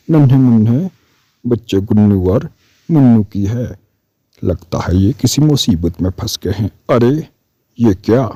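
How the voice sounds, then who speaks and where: A man's voice: fairly low pitch, dark tone, no echo. A man voicing a kind, brave male parrot in a children's cartoon, speaking in an earnest, concerned way.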